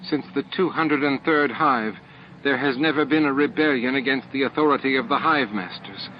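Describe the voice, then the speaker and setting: A man's voice: medium pitch, moderate pace, a little hiss or hum, pitched above the performer's own voice, close to an everyday voice. A man voicing a fierce, snappish elderly female alien scientist in an audiobook drama. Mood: defiant. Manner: snappish, forceful, righteous. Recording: dull lo-fi audio with music.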